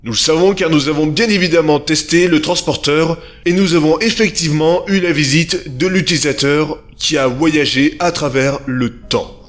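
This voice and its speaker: A man acting in an audio drama as a calm, formal inventor giving a didactic conference speech. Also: a little high, normal speed, close to an everyday voice. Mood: measured, assured. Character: calm male inventor presenting his time machine.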